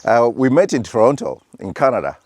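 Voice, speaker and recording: A man's voice: mid-range voice, big pitch swings, fairly quick, darkish tone. A man, an executive chef answering interview questions in an affable way. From Ghana. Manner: affable, says yes a lot. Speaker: executive chef and restaurant owner. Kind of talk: casual interview.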